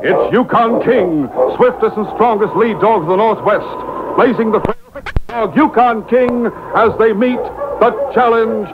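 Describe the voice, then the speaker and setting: High-pitched declamatory male announcer, old radio. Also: unhurried, heavy background noise. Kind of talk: dramatic old-time radio show introduction. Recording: tinny old radio taped by boombox. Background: loud music.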